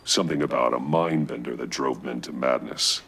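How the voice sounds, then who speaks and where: Gravelly, ultra-deep grim masked vigilante. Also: male voice, normal speed, heavily acted. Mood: guarded. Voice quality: gravelly growl. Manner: terse, deadpan. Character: grim, stoic masked vigilante man, terse and guarded. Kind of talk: video game dramatic acting.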